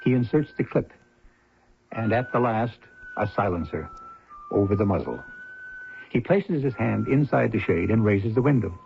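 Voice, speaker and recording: A man narrating a crime story for a radio drama, deliberate and suspenseful in his delivery. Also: fairly low pitch, expressive, vocal fry. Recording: muffled old radio broadcast, faint noise.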